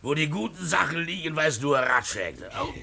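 Creaky, animated, tipsy tavern drinker. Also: male voice, a little high, normal speed, a crowd in the background. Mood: chummy, amused. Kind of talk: German radio play acting.

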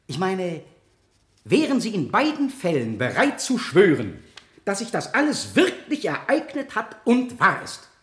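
Radio play casting: sardonic cross-examining lawyer, lightish higher voice, very expressive, roomy echo. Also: male voice, a little slow, heavily acted. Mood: triumphant. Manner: probing, sardonic, rhetorical. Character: skeptical, incisive male lawyer cross-examining in court. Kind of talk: 1970 German radio play acting.

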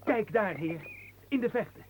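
An actor playing a cheeky, lusty manservant with eager, playful exclamations in a radio play. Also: male voice, high-pitched, animated, a little slow. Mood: excited. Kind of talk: theatrical radio drama acting. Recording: radio play with clear background noise.

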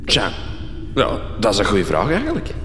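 A man's voice: lowish voice, wildly swooping pitch, moderate pace, a bit bright. A man with a Flemish accent playing a shifty, evasive mine worker in a radio comedy drama, speaking hesitantly with stammers. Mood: explanatory. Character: shifty, evasive old-time mine worker guiding strangers. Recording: echoey hall, faint noise.

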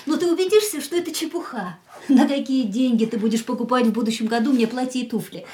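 A woman playing a willful, carefree and fatalistic young woman in a radio play, with expressive theatrical delivery. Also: a little high, fast, lightly acted. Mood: calm, teasing.

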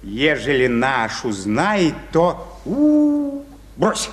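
A man's voice: fairly high pitch, wildly swooping pitch, extremely slow, exaggerated voice. A man acting a fussy, moralizing, gossipy petty official in a radio play, with an emphatic, scolding manner. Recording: reverberant hall-like studio.

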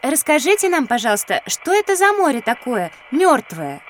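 A woman's voice: high voice, big pitch swings, moderate pace, slight background noise. A woman voicing a polite, inquisitive little girl in an educational audio play for children. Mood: polite, eager.

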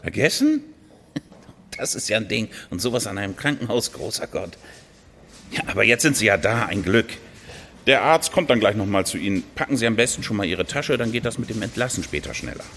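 A man's voice: lowish voice, very animated, a bit bright, a little hiss or hum. An actor playing a chatty, breezily efficient male hospital nurse in a naturalistic radio drama. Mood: surprised, breezy. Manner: chatty, exclaiming, brisk.

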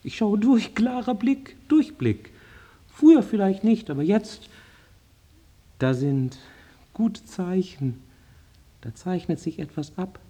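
A man's voice: high voice, very animated, slow pace, pauses often, dark tone, husky, heavily acted. An actor playing an agitated male psychiatric patient in a radio drama, defending himself in fragmented, insistent bursts. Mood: agitated, defensive. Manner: rambling, fragmented, insistent self-justification.

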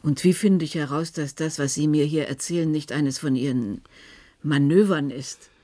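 An actress playing a serious, troubled and sceptical woman expert in a German radio play, husky and guarded, with a questioning manner. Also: sits low, normal speed, mellow. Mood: troubled, doubting. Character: serious, sceptical adult female technology expert, troubled by conspiracy.

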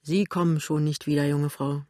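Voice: mid-range voice, level tone, normal speed, warm tone, no room sound, clean studio sound, close to an everyday voice. A reader voicing a blunt, worn-out working-class landlady in an audiobook, brusque and colloquial with a resigned edge.

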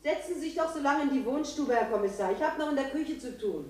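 Brisk farm woman in an echoing room. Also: sits high, expressive, a little mellow. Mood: busy, matter-of-fact. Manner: brisk, plain-spoken, scolding. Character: brisk, bossy farm woman, scolding and домestic. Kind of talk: German radio play acting.

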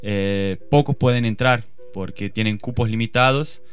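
Smooth-voiced man from Brazil. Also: lowish voice, normal speed. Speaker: student guest.

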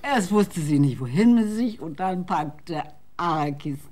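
A woman's voice: fairly low pitch, animated, measured pace, a little mellow, slight background noise. An actress playing a bitter, drunken woman who curses scornfully in a radio drama. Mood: wistful. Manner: cursing, scornful, breaks into tears. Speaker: voice actor.

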